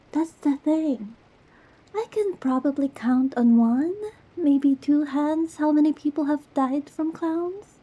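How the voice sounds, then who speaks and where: A woman acts out a sweet, goofy young girlfriend in an intimate ASMR roleplay. Her voice is high and breathy with a very mellow tone. She talks slowly, rambling playfully as she reassures her partner with tender affection.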